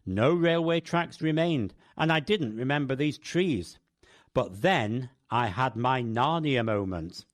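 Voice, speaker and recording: Medium-pitched male scripted radio narrator. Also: expressive, slow. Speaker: radio program host. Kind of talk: scripted first-person narration.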